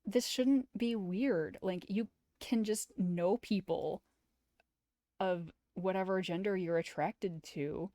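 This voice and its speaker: A woman talks casually as a game streamer, in a mid-pitched voice. She is informal and opinionated, often saying "like". The recording is dry and close. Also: takes it slowly, dark tone, no room sound. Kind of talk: casual commentary and visual novel read-aloud.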